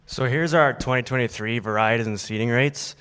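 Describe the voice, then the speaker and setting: Young sask guy explaining slides, smooth resonant voice, echoey hall. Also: medium pitch, fairly quick, a bit bright. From Saskatchewan, Canada. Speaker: agriculture student presenter. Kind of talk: class presentation from slides.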